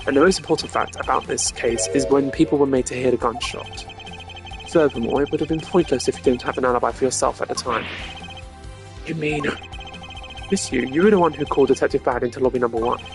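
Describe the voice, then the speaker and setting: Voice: medium pitch, music in the background, natural voice. A streamer acting a stern, formal male prosecutor. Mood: accusatory. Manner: measured, formal, accusatory. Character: composed, stern, formal young male prosecutor pressing a suspect. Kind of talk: video game character voice acting.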